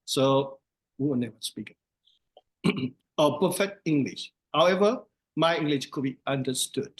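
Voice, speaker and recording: A man's voice: fairly high pitch, animated, a little slow, rough recording. A man from Myanmar with a Burmese accent, a monk giving a casual teaching talk and repeating phrases for emphasis. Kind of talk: casual dharma talk lesson.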